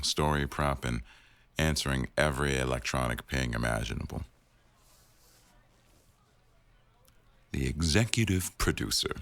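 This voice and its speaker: A man acting an attentive, tender boss in an intimate boyfriend ASMR roleplay, murmuring slowly to an employee in a gravelly voice. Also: a bit bright, no echo, exaggerated voice. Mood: wry. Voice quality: very deep, gravelly.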